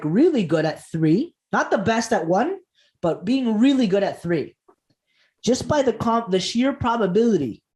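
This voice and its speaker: A man's voice: high voice, a little mellow. A man from Costa Rica, a comedian guest on a podcast, telling stories with enthusiasm and jokes and laughing often. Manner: enthusiastic, jokey, laughs often, restarts words. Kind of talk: animated podcast storytelling.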